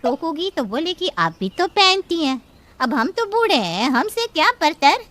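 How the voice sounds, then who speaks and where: A woman's voice: high voice, sing-song, unhurried, noisy background, voice pushed up. A woman voicing an exasperated, gossipy old mother-in-law griping dramatically in a cartoon story. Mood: aggrieved. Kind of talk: animated cartoon story acting.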